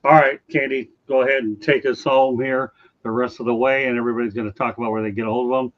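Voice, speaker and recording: A man hosting a casual sports podcast, his voice going a little raspy as he hands off to co-hosts. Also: medium pitch, steady tone, muffled recording. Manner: hands off to co-hosts, brisk wrap-ups. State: voice getting raspy. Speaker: podcast host.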